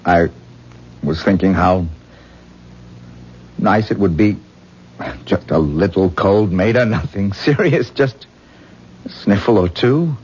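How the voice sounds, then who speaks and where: An actor playing a self-absorbed, anxious husband who coaxes and evades in a 1970s radio drama. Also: male voice, lowish voice, takes it slowly, long pauses, a little mellow, slightly rough. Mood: coaxing, pleading. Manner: coaxing, evasive, pleading. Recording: muffled, dull old radio broadcast.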